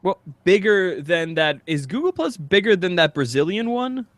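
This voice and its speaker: A man's voice: high voice, sing-song, normal speed, fairly bright tone, no echo. A man chatting and bantering casually as a podcast co-host.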